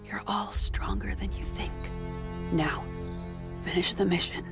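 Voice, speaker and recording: A woman's voice: sits low, big pitch swings, unhurried, whispered, exaggerated voice. A woman playing a wounded, proud and tender motherly fighter in an anime audio drama. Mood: solemn, resolute. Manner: solemn, emotional delivery. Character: wounded, tough, motherly squad commander's comrade, proud and tender. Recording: music under the voice.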